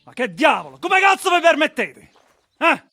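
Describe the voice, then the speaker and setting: An actor playing an outraged medieval Italian man, shouting indignantly in a video game. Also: very high voice, very animated, very fast, faint music behind, full character voice. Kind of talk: video game cutscene acting.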